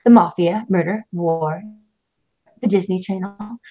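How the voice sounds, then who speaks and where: A woman's voice: lowish voice, a little slow, mellow. A woman voicing a cold, ambitious lawyer wife who speaks in a condescending, wordy way. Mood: sardonic. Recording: dull lo-fi recording.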